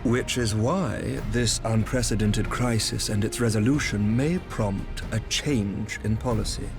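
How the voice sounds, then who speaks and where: An actor playing a composed, courtly knight commander in a fantasy game, speaking formal, measured archaic English. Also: male voice, on the low side, over music. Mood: measured, diplomatic. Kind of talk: scripted fantasy game dialogue.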